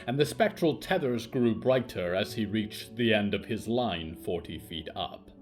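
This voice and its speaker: A man narrates an atmospheric tale at a slow, deliberate pace. His pitch is lively and varied, and music plays faintly behind him. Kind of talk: scripted atmospheric story narration.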